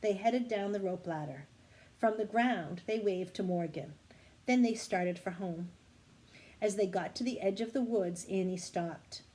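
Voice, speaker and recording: A woman's voice: medium pitch, unhurried, mellow, low-quality recording. A woman reading a children's storybook aloud expressively, now and then correcting a stumble. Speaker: story reader.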